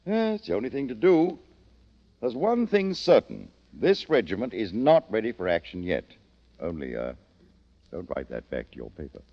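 A kindly, gruff colonel with a low voice and very wide swings in pitch. He muses and hesitates as he talks. It is vintage radio acting with dulled sound. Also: wildly swooping pitch, moderate pace, heavily acted. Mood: musing. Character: gruff but kindly British army colonel. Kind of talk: 1940s-50s radio drama acting. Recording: dull old radio recording.

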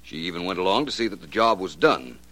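A cool gentleman detective in an old radio mystery. The voice is fairly low, with very animated intonation and an assured, explanatory manner. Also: fast talker, exaggerated voice. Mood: matter-of-fact. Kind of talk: 1950 radio crime drama acting.

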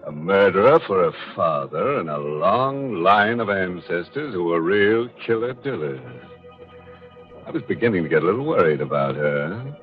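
Deep voiced guy hosting old radio horror show, super expressive, tongue in cheek creepy, muffled with faint music. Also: normal speed. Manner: tongue-in-cheek, macabre, theatrical. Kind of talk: 1940s radio mystery hosting. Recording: muffled vintage radio broadcast.

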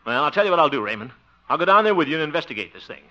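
A man's voice: fairly high pitch, big pitch swings, rapid-fire. An actor playing a blustery, exasperated, good-hearted middle-aged man, grumbling and exclaiming in 1950s radio comedy style. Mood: skeptical, bossy. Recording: dull old radio recording.

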